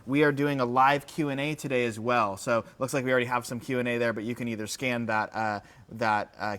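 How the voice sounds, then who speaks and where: A man hosting a live product presentation in an informal, slightly halting, conversational way. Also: mid-range voice, a bit bright. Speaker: livestream host presenting product updates.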